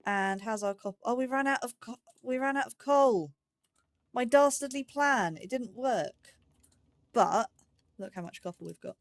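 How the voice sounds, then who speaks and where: A woman's voice: on the high side, a little slow, no room sound, studio quality. A woman giving casual let's play commentary, chatting and musing aloud in a self-deprecating way. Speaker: gaming YouTuber, host of a let's play series.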